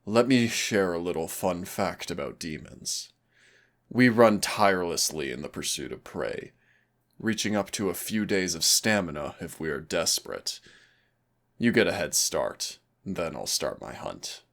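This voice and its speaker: A predatory demon acted by a man drills his human trainee in an audio roleplay. His voice sits very low with a heavy gravelly creak. He taunts with mock courtesy and gives orders with smug, dangerous ease. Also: animated, a little slow, a bit bright, heavily acted. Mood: teasing, menacing, then predatory, playful. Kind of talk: scripted roleplay audio acting.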